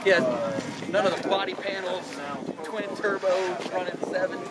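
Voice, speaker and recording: A man's voice: sits high, little pitch movement, normal speed, over a noisy crowd. A man at a car meet, talking matter-of-factly about the specs of someone's car. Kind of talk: casual chat. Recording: loud wind and engine noise.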